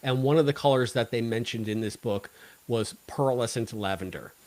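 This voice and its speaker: A man in a relaxed podcast conversation as co-host. He speaks at a middle pitch with animated emphasis, drifting into tangents as he makes his case. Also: normal speed. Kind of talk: casual fan discussion.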